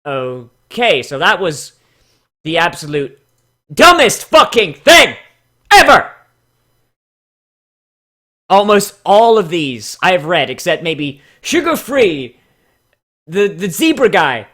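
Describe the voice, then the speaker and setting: A man's voice: high voice, very animated, slow, long pauses, bright, forceful tone. A man ranting casually as the host of a reading show, rambling, swearing and exasperated with heavy emphasis.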